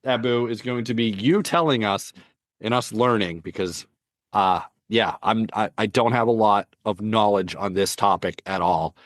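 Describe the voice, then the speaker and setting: A man's voice: fairly low pitch, moderate pace, no room sound. A man hosting a podcast, asking his guest short, curious questions and agreeing easily. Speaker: podcast host. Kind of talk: casual podcast interviewing.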